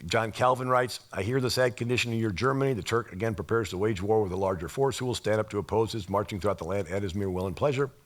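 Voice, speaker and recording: A man with a slightly raspy voice giving an informal history lecture, explaining things and posing rhetorical questions as he goes. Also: on the low side, fast, hardly any pauses.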